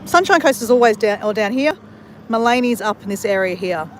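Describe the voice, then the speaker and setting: A woman's voice: medium pitch, expressive, a little fast, a little hiss or hum. An Australian woman chatting to her viewers while she walks around outdoors in a country town and along a creek. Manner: chatty, talks straight to viewers as "guys". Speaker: vlogger. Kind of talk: casual walking vlog.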